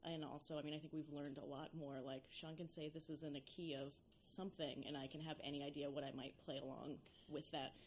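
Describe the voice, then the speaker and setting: A young woman with a low speaking voice talks casually about her music, filling her sentences with "like" and "you know". A faint background hiss sits under the voice. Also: flat delivery, fairly quick, hardly any pauses. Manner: chatty, many fillers like "like" and "you know". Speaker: violinist and singer in a duo. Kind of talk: casual interview chat.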